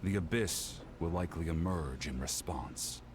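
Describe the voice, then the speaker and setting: A man voicing a somber, earnest warrior in a video game, measured and weighed down by guilt. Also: low voice, a little hiss or hum, lightly acted. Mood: grave, instructing. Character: somber, guilt-ridden male warrior determined to save a lost comrade. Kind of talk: scripted video game voice acting.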